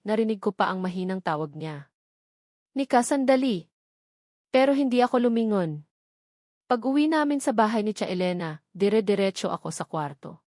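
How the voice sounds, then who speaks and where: A woman tells a dramatic first-person story as a narrator. Her pitch sits in the middle for a woman, and the sound is dry, with very little room. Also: a little mellow, dry recording.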